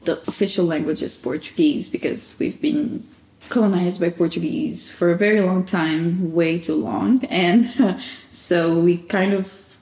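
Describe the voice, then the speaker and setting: A woman from Angola talks casually about her candle and soap shop, laughing often as she goes. Her voice sits a little low and sounds mellow. The recording carries a roomy echo. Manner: warm laughing, chatty with self-quoting. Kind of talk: casual interview answers.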